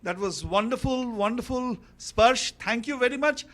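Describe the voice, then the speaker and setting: High-voiced male livestream host. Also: normal speed, a bit bright. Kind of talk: livestream interview.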